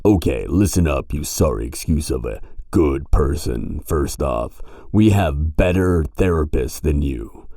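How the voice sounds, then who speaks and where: A sardonic grim reaper, acted by a man for an audio drama, with a very low, dark and mellow voice. He talks in casual slang, brash and teasing, as if always ready to argue. The sound is close and dry. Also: animated, unhurried, creaky, no room sound, heavily acted. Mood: brash, insistent. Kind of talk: audio roleplay acting.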